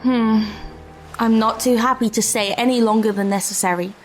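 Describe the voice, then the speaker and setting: A woman's voice: fairly high pitch, steady tone, normal speed, faint music behind, natural voice, low-quality recording. A woman playing a curious, brave, level-headed adventurer in an audio drama, speaking in a precise, articulate way. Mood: intrigued.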